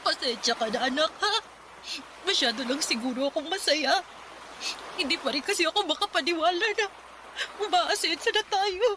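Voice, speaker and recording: A woman's voice: extremely high pitch, animated, normal speed, noisy background. An actress playing a loving, thrifty, emotional mother in a Tagalog radio drama, fretting and tender. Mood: tearful, apologetic. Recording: music bed behind the voice.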